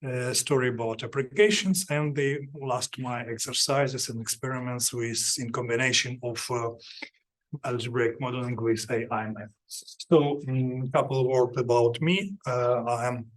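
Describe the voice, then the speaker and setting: A man giving an academic seminar talk, halting with many fillers and restarts. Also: medium pitch, steady tone, low-quality recording. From Ukraine. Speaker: seminar speaker.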